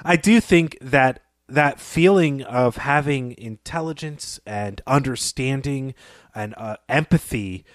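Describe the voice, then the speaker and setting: A man talks as a podcast co-host in a literary discussion. His voice sits at an average pitch and he phrases his analysis thoughtfully and articulately. The sound is dry, with hardly any room on it. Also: slow pace, no room sound.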